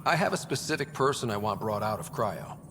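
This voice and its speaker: A man's voice: on the low side, animated, fairly quick, background noise. A man playing a young space explorer leader in a video game, talking in a casual, direct way. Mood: direct, surprised. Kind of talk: video game character dialogue. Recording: reverberant hall, clear background ambience.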